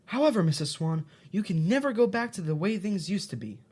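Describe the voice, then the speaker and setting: A woman's voice: medium pitch, sing-song, a little fast, mellow, husky. A woman playing a cheerful, shady fixer who talks in a sing-song children's show manner in a parody audio drama. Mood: brisk, cheery.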